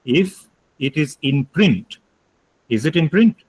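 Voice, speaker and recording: A man's voice: on the high side, very animated, takes it slowly, darkish tone, husky, lo-fi sound. A man giving an online lecture, explaining points emphatically, repeating key ideas and taking questions. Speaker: guest lecturer.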